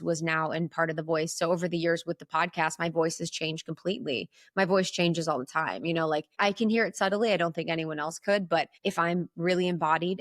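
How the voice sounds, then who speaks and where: A woman's voice: fairly low pitch, no echo, studio quality. A woman from Ohio with an Ohio accent, telling a personal story as a podcast guest in a candid, reflective way. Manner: candid, reflective, frequent "like" fillers.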